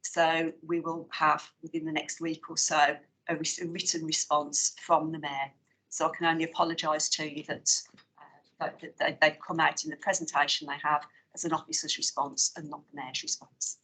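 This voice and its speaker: A woman with a West Midlands English accent chairs a formal committee meeting. Her voice is bright and fairly low for a woman. She moves through agenda items in a measured, procedural way, with frequent pauses and fillers. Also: little pitch movement, rough recording.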